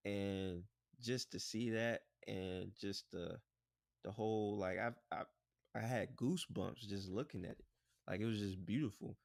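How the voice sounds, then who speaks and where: A man co-hosting a podcast in relaxed, rambling casual chat, often saying "bruh" and "like". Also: fairly low pitch, measured pace, creaky, dry recording, clean studio sound. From United States. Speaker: podcast co-host.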